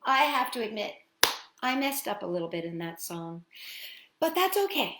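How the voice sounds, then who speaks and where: Animated, high-voiced woman storyteller for kids. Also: echoey room, heavy background noise. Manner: playful, expressive, drawn-out words with chatty asides. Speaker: children's storytime host. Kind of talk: animated picture-book read-aloud and children's singing.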